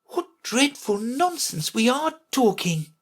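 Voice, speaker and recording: A woman puts on an earnest little girl from a storybook, high and lilting. She is polite and careful, answering gravely and properly. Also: big pitch swings, slow, exaggerated voice. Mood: grave, thoughtful. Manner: careful, grave, well-mannered.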